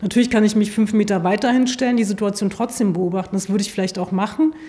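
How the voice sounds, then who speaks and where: A woman's voice: mid-range voice, fairly even intonation, quick pace, dark tone. A woman interviewee giving practical advice.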